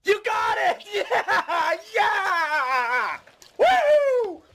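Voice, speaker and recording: Male actor for over-excited soda lover, hammy game cutscene, drawn-out delivery, slightly noisy audio. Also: very high-pitched, raised voice, full character voice. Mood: wild excitement. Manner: exaggerated, deliberately bad acting. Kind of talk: live-action video game cutscene acting.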